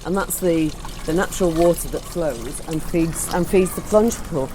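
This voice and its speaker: A woman's voice: low voice, moderate pace. A woman giving an informal guided tour as she walks outdoors. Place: outdoors, walking in a garden. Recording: outdoor field recording with ambient noise. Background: outdoor ambience.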